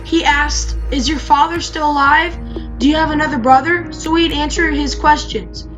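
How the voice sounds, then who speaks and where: A woman's voice: sits high, fairly even intonation, moderate pace, voice pushed up, close to an everyday voice. A woman voicing earnest, pleading grown brothers in a Bible story, with insistent, emphatic delivery. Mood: defensive. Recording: music behind the voice.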